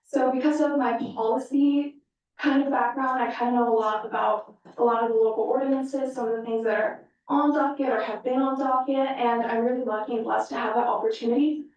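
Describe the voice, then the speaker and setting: A woman's voice: fairly high pitch, a little mellow, muffled recording. Enthusiastic young woman candidate. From San Diego. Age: young adult. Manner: enthusiastic, proud. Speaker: student candidate. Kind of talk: prepared interview answer. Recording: echoey room, distant mic.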